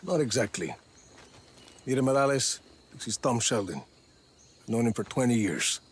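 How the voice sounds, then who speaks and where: A man's voice: medium pitch, expressive, normal speed, husky. An actor playing a guarded, stubborn male action hero with a terse, blunt manner in a video game cutscene. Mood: wary, dismissive.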